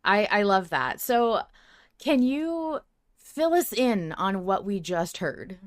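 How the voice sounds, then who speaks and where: A woman hosts a fan podcast with open enthusiasm and a bit of swearing. Her voice sits at an ordinary pitch, and the sound is dry, with very little room in it. She talks casually, like a fan gushing to fellow fans. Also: very animated, slow, no echo. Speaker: podcast host. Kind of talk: excited fan recap podcast chat.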